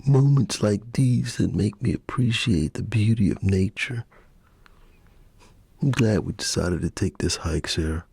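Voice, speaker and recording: A man voicing an easygoing, appreciative male hiker in a bedtime story, soft and earnest. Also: lowish voice, unhurried, creaky, breathy edge, dry recording, exaggerated voice. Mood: content. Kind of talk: ASMR bedtime story character dialogue.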